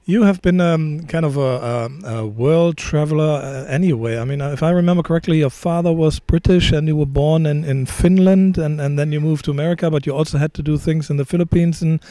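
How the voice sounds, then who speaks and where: A middle-aged man interviewing a player, friendly and halting, with many ums. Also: on the high side, mellow, dry recording. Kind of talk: casual interview questions.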